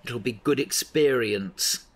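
A man's voice: mid-range voice, slow pace. A man acting as a seasoned, middle-aged small-town newspaper editor in an audio drama, dry and avuncular. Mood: instructive.

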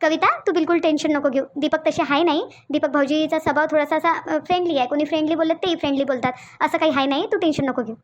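A woman's voice: sits high, fast talker, few pauses, highs cut off, close to an everyday voice. A woman voicing a protective, reassuring elder sister-in-law in a Marathi cartoon, comforting repeatedly in Vidarbha dialect. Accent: Vidarbha (Varhadi) Marathi. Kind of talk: Marathi cartoon story dialogue.

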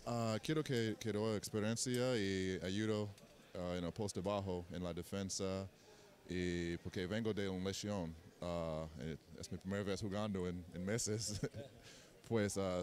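A foreign basketball player whose first language is English, answering in simple, halting Spanish into a handheld mic in an arena. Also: male voice, deep voice, steady tone, darkish tone. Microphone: handheld mic held by interviewer.

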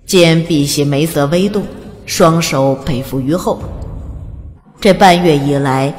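A woman reading scripted fantasy narration in a dramatic storytelling style, as an audiobook narrator. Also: sits low. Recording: long reverb on the voice. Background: faint music.

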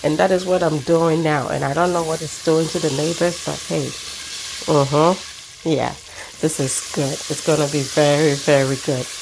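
A middle-aged Ghanaian woman hosts a cooking video outside on her patio, talking the viewer through each step. Her voice sits low and carries a gravelly edge. Food sizzles in the pan beside her. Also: steady tone, normal speed, noisy background. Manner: warm, chatty, explains each step. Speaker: cooking channel host. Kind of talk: cooking demonstration. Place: outdoor backyard patio at a grill. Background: sizzling pan, birds.

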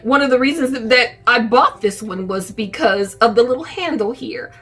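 A woman's voice: a little high, moderate pace, a bit bright, slightly rough. A middle-aged woman chatting casually to camera as a vlogger, recorded indoors in a room at home. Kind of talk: casual shopping haul chat to camera.

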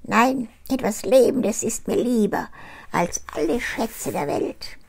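A sly little goblin man from a storybook, speaking in a high, thin, gravelly voice, as an old woman reading aloud puts him on. His pitch bounces up and down. He sounds scheming and eager as he strikes his bargains. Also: animated, takes it slowly, exaggerated voice. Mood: sly bargaining.

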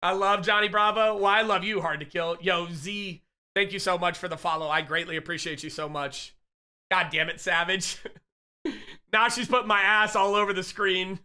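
A man in his thirties streams from home on a headset, shouting excited, profane greetings to his viewers. His voice is high and very bright and forceful, though it is wearing thin as he gets sick. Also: moderate pace, smooth. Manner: loud, excitable, profane shout-outs to chat. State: losing his voice, getting sick. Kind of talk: casual live-stream chat.

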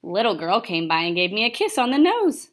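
A woman's voice: medium pitch, big pitch swings, a little fast, a bit bright. Young woman reading a picture book aloud. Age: young adult. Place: indoor classroom.

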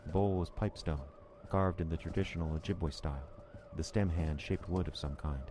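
Actor as very deep-voiced brooding narrator. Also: male voice, steady tone, a little fast, lightly acted. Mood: quietly uneasy. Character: uneasy male visitor narrating an eerie encounter. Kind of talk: scripted radio horror narration. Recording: clear background ambience noise.

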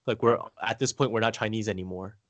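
Man chatting, halting and close-miked. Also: fairly low pitch, no echo, lo-fi sound. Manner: halting, rambling, peppered with "like". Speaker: podcast guest. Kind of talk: casual conversational storytelling.

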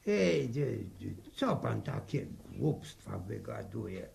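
Grumbly old village grandfather, heavy creak, slow muttering, rural Polish accent, vintage radio play. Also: on the low side, very animated, darkish tone, slight background noise, exaggerated voice. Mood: indignant. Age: older. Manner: muttering, stumbling, folksy interjections. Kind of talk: 1960s Polish radio drama acting. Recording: old 1960 radio drama recording.

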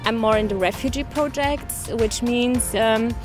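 A young woman from Iran answers questions outdoors, quick and a little hesitant. Clear music plays beneath her voice. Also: a little high. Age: young adult. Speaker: youth worker. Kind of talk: interview. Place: outdoors by a sports field.

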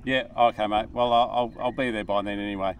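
A young Australian man in a relaxed, friendly casual chat, recorded outdoors on a small river boat by an action camera. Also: on the low side, fast. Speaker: fishing vlogger. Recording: distant mic, outdoor noise.

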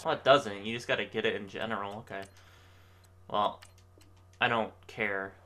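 A man's voice: lowish voice, steady tone, moderate pace, pushed and bright. A man with a stuffy nose hosting a gaming channel, chatting casually and reacting to plays as he goes. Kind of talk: casual gameplay commentary.

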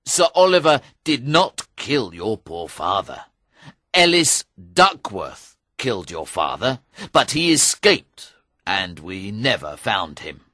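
Greedy middle-aged knight, sly and booming, bright and animated; male narrator puts him on. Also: slow pace, dry recording, studio quality, full character voice. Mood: scheming. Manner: sly, booming, laughs at others. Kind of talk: audiobook character voice.

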